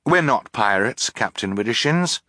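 A man's voice: mid-range voice, animated, normal speed, pushed and bright, no echo, voice pushed up. A man voicing a sensible, polite young girl in an audiobook, reasoning in an earnest, pleading way. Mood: hasty, placating. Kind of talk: audiobook character voice.

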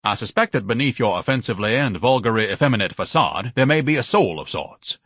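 A man's voice: fairly low pitch, expressive, quick pace, fairly bright tone, breathy edge, dry recording, studio quality. A man voicing a pompous, bombastic scholar with a solemn, orator-like way of talking and florid diction, in a storybook narration. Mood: solemn, lecturing. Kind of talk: audiobook character acting.

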